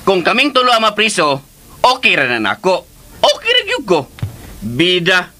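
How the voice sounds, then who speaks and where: A man playing a protective, outspoken, folksy uncle in a radio drama, with an excitable, exclamatory delivery. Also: high voice, moderate pace, heavily acted. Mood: indignant, defiant. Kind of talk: lively radio drama acting. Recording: music under the voice.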